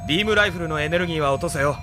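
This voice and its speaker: A man's voice: on the high side, racing, music in the background, natural voice. An actor playing an alert, cautious male military pilot in a Japanese sci-fi audio drama.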